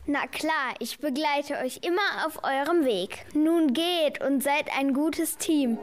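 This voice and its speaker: A female performer playing a patient guardian angel in a children's audio play, explaining things in a measured way. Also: high-pitched, a little hiss or hum. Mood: encouraging.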